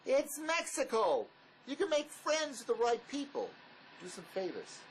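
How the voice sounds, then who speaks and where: A man's voice: very high voice, huge pitch swings, a little slow, full character voice, low-quality recording. A man acts a pragmatic fugitive ex-convict in an audio drama, with widely swinging intonation. He is terse, bossy and dismissive. Faint noise sits behind the voice. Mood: persuasive.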